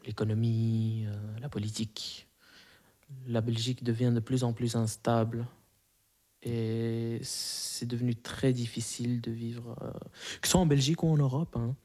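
A man's voice: medium pitch, little pitch movement, unhurried, mellow. A man in his twenties, a native Arabic speaker, answering interview questions casually with many fillers and restarts. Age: 20s.

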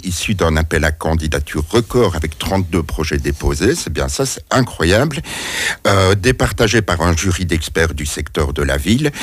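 Low-voiced male radio host. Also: no echo. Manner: conversational, self-correcting. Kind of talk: radio interview.

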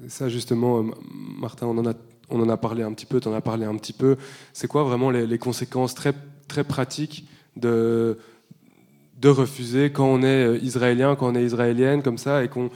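A man's voice: medium pitch, little pitch movement, normal speed, warm tone. A man moderating a panel discussion, restarting and rephrasing as he puts questions to guests. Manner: rephrasing while asking questions. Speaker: host, moderator.

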